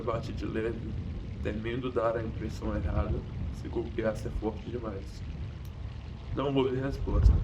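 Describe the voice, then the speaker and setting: A man narrates a first-person audiobook in an even, level voice, now and then tripping over a word and starting it again. He sits well back from the microphone, and a loud, steady noise runs under everything he says. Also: medium pitch. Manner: reads with slips and restarts. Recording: distant mic, loud background noise.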